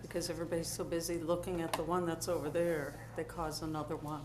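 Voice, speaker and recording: A woman's voice: low-pitched, level tone, quick pace. A woman council member making a firm, exasperated comment at a meeting. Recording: echoey chamber with noise.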